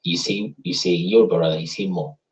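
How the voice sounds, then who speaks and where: A man's voice: sits low, fairly quick. A man hosting a casual talk, explaining in a teacherly way and tagging his points with "right?" and "you see". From Africa. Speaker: host explaining language links. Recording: dull lo-fi sound.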